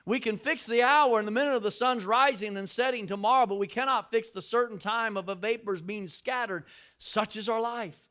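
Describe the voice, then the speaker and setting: An older man, a pastor, preaching a sermon with emphatic rises and shouted lines, repeating words as he builds his points. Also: high voice, fairly quick.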